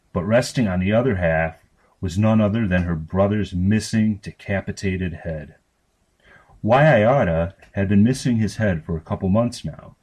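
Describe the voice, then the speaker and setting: A man reading fiction aloud as scripted narration, in a matter-of-fact, deadpan manner. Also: low voice. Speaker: story reader.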